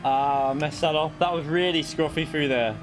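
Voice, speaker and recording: Chatty Northwest English lad streaming. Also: male voice, on the high side, steady tone, normal speed, background noise. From England. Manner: chatty, laughs easily, answers viewer chat. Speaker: sim racing streamer. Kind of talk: casual live-stream chat.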